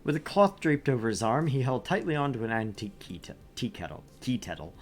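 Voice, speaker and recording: A man streaming a visual novel, reading narration aloud and chatting with his co-host, animated and quick to laugh. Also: medium pitch. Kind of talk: game stream reading and chat.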